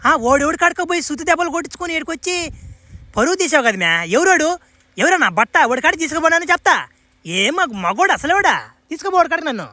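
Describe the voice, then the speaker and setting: Someone voicing a scolding, high-pitched mother-in-law. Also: sing-song, fast, sharp tone, dry recording, heavily acted. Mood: indignant. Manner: nagging, blunt, rhetorical questions. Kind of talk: comedy cartoon dialogue.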